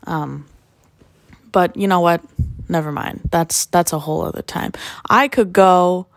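A woman hosting a casual solo podcast while sick, with a raspy voice, rambling and joking at her own expense. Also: lowish voice, unhurried, no echo. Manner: rambling and self-deprecating with jokes. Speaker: podcast host; music producer.

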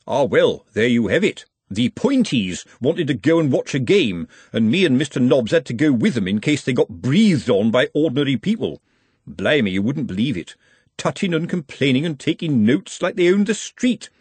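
Man playing a sly nosy watchman, conspiratorial, husky voice, lively pitch. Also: normal speed, no echo. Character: nosy sly middle-aged male watchman, gossiping.

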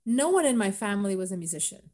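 Female podcast guest, international accent with Spanish influence, medium pitch, relaxed conversational interview style. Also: fast talker. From grew up in the United States, Bolivia and Cuba.

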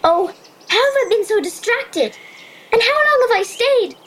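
A woman playing a curious, excitable young Irish girl, acting and narrating her adventure in a wide-eyed, expressive way for an audio drama. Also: very high-pitched, measured pace, noisy background. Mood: alarmed, urgent. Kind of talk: audio drama acting and first-person storytelling. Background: music.

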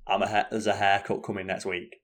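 A man's voice: fairly low pitch, little pitch movement, fast, fairly bright tone. An English man co-hosting a live stream from home, chatting casually in a rambling way and swearing freely. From England. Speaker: co-host.

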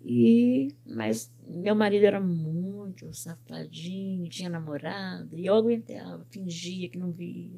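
An older woman from Brazil, a pet groomer and business owner, telling her life story in an interview with lively quoted speech. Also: fairly low pitch, measured pace, gravelly, hardly any pauses. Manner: animated storyteller who quotes other people's words.

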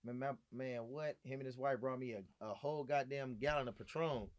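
A man from Gardena, California, sits in as a podcast guest. He tells stories in casual slang and repeats his phrases for emphasis. His delivery is animated, at a middling pitch. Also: fairly quick. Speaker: podcast guest, restaurant owner. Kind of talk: casual chat, storytelling.

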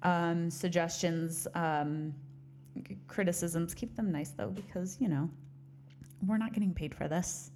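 A woman's voice: fairly low pitch, fairly even intonation, unhurried, a little mellow, a little hiss or hum. A woman co-hosting a casual podcast, rambling and laughing often, recorded on a studio mic while wearing headphones. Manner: giggly, rambling, self-deprecating. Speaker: podcast co-host. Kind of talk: casual chat.

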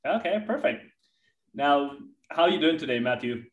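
A man's voice: a little high, lively intonation, normal speed, muffled recording. Distant, roomy-sounding male podcast host. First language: Hindi. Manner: enthusiastic, long winding questions. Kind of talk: interview podcast. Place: Dubai. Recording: roomy echo, distant from mic.

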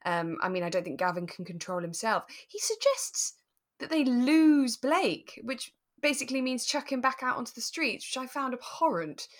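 A woman co-hosting a podcast, talking in a relaxed, thoughtful and explanatory way about a drama. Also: fairly high pitch, moderate pace. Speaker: podcast co-host. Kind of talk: relaxed discussion of a radio drama.

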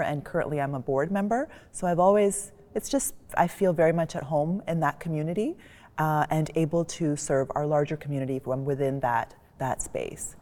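A woman's voice: sits low, dark tone. A woman speaking on a panel in a library reading room, thoughtful and articulate. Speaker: panelist, community board member. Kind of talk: panel discussion. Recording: long reverb of a large hall.

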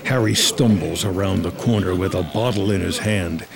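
A man's voice: deep voice, moderate pace, creaky, crowd noise. A man reading scripted screenplay narration as the narrator of a drama.

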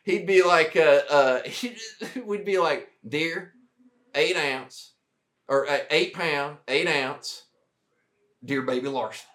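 A man's voice: fairly high pitch, slow pace, fairly bright tone. A man with a Southern US accent telling war stories in a casual, profane drawl as a podcast guest, often laughing. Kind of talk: casual storytelling chat.

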